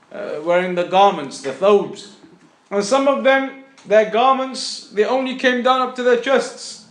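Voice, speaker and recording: A man teaching a religious lesson off the cuff, explaining didactically and repeating phrases for emphasis. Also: high voice. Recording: echoey room.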